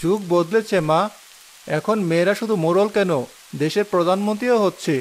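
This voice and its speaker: A woman voicing a young man who has just become a village headman, earnest and dutiful, in a deep put-on voice. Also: a little fast, lightly acted.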